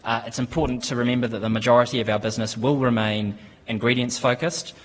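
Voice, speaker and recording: A man in his thirties with a New Zealand accent gives a conference presentation at a lectern, speaking in a measured, steady way with frequent ums and restarts. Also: medium pitch. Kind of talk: business presentation. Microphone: lectern microphone. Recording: conference hall with long reverb.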